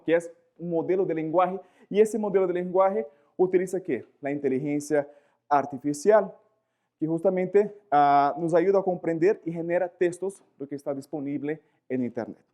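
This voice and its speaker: Portuguese-accented male lecturer, slightly high voice, lively, pauses to find words. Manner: animated, searching for words, frequent rhetorical questions. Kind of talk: live lecture to students.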